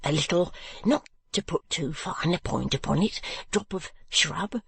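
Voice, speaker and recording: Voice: mid-range voice, big pitch swings, a little slow, a bit bright, breathy edge, no room sound, heavily acted. A reader voicing a meek, nervous middle-aged stationer who stammers and pleads anxiously. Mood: flustered pleading.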